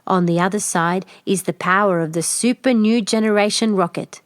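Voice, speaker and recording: A woman narrates a children's story from a script. Her pitch is in the middle range for a woman. The recording is dry, with no room around the voice. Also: normal speed, no echo. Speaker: storybook reader.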